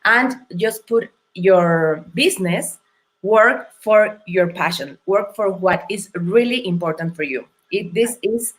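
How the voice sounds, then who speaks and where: A woman from Mexico with a Mexican accent, a non-native English speaker, telling her story as an interview guest in an earnest, thoughtful and halting way. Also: medium pitch, expressive, poor audio. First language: Spanish. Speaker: guest entrepreneur.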